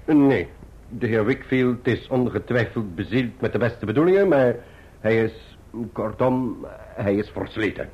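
An actor playing a pompous, genteel middle-aged clerk in a radio drama, florid and wordy with deliberate pauses. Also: male voice, mid-range voice, lively intonation, slightly rough. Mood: guarded, dignified. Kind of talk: theatrical radio drama acting. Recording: muffled, dull old radio drama recording.

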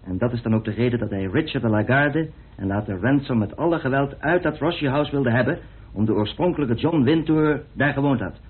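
Self-assured, husky-voiced man. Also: mid-range voice, animated, a little fast. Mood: assertive, explaining. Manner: assertive, dry, explanatory. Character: self-assured man coolly arguing his theory of a murder. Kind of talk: radio play acting. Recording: muffled phone-like old radio recording.

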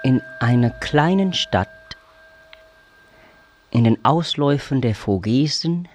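An actor narrating as an unhurried storyteller in a literary radio play. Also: male voice, mid-range voice, animated, a little mellow. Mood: measured. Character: unhurried male storyteller of a strange island tale.

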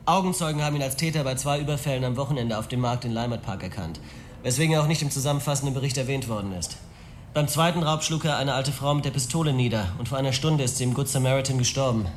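An actor playing a businesslike official who briefs detectives in a crime radio drama. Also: male voice, medium pitch, quick pace, a little hiss or hum, close to an everyday voice. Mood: brisk, matter-of-fact.

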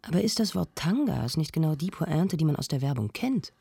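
An actress playing an intrigued, reverent female culture presenter in a radio play, with a soft, husky, creaky voice. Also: deep voice, animated, brisk, no echo, clean studio sound, full character voice. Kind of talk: radio play acting as an interview.

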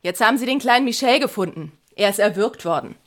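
An actress playing a chatty physiotherapist who shares gossip, in a radio play. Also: female voice, mid-range voice, animated, fast talker, natural voice. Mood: gossipy.

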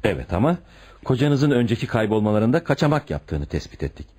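An actor in a radio drama playing a blunt, suspicious police commissioner who questions curtly and sarcastically. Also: male voice, medium pitch, big pitch swings, a little fast, darkish tone, breathy edge. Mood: matter-of-fact, pressing. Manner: curt, sarcastic, interrogating. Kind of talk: radio theatre acting.

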